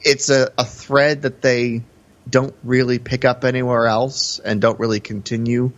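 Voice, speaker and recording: A man's voice: medium pitch, level tone, moderate pace. Musing male podcaster, slightly dull audio. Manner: hesitant, musing. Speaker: podcast co-host. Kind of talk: casual film discussion.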